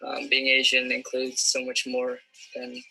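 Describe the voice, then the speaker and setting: A man's voice: medium pitch, completely flat delivery, a little slow, gravelly, sharp tone, slightly rough, soft background music, poor audio. A young man giving a casual personal testimonial into a phone indoors. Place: indoors at home.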